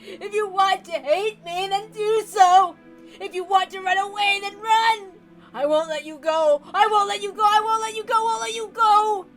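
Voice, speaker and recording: A woman's voice: very high voice, fairly even intonation, a bit bright, faint music behind, voice pushed up. A woman voicing a deranged, tyrannical old patriarch with theatrical, obsessive rants, reading from a visual novel. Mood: possessive anguish. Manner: theatrical howling rants, drawn-out pleading.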